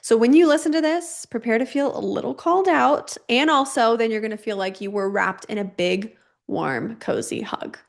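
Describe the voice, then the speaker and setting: A woman's voice: fairly high pitch, moderate pace, vocal fry. Affirming woman podcast host. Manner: warm and affirming, casual swearing. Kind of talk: hosting and introducing a replayed clip.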